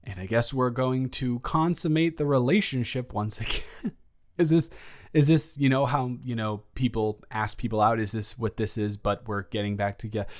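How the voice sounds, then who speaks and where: A young man playing an awkward, eager, rambling ex-boyfriend in an improvised romantic roleplay audio, stammering and halting as he talks. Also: medium pitch, close to an everyday voice. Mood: teasing, sheepish.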